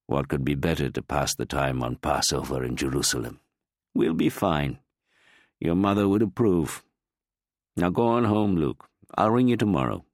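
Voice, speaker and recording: A man voicing an elderly grandfather, an archaeologist who is grieving, speaking in a slow, soft, fatherly way. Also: rumbling low, no room sound, studio quality. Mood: gentle, reassuring. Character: older male archaeologist grandfather, gentle, grieving, reassuring.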